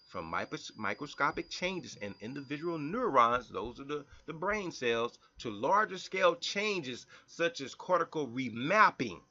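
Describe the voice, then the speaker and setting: A man with a bright, forward voice and a very lively, swinging pitch, half reading and half riffing as he explains. He restarts and repeats words as he goes. The recording sounds dull and lo-fi. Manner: animated, breaks from reading to comment and mimic people. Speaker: health vlogger. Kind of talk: reading and explaining science text aloud.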